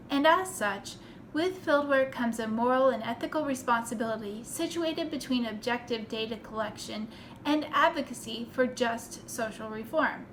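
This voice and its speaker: High-voiced woman reading scripted narration. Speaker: narrator reading a tribute essay. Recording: noticeable background noise.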